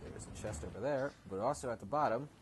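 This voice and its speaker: A man's voice: medium pitch, fast. A man giving casual, rambling let's play commentary, explaining the game informally as he goes. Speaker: gaming video host. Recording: noisy home recording with game ambience.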